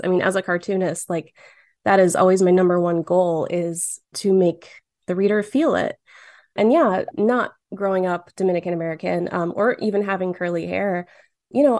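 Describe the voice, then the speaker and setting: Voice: medium pitch, a little mellow, no room sound, studio quality. A cartoonist in their late twenties from Michigan, answering interview questions in a chatty way with lots of fillers. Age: late 20s. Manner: chatty, many fillers, laughs often. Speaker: guest cartoonist.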